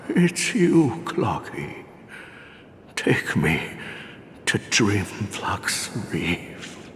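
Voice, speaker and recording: A man's voice: fairly low pitch, a bit bright, big-room sound, slight background noise, heavily acted. A man voicing a kindly old veteran space wanderer, bold and hopeful, in a video game cutscene. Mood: gentle, weary.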